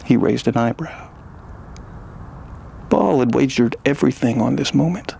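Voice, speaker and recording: A man narrating a scripted science fiction story in a measured, dramatic manner. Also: mid-range voice, expressive, vocal fry, dark tone, breathy edge.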